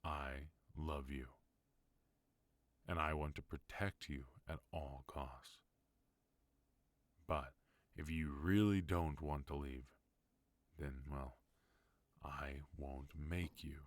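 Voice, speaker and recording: A voice actor playing a protective, tender yet dangerous mafia boss husband in an intimate ASMR roleplay, speaking softly and unhurriedly into a close microphone. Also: male voice, rumbling low, fairly even intonation, dry recording. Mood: tender, vulnerable. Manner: soft, intimate, unhurried delivery.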